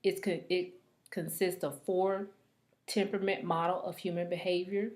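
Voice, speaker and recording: A woman reading a scripted presentation aloud like a student, in a halting, deliberate manner. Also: lowish voice, level tone, a little mellow.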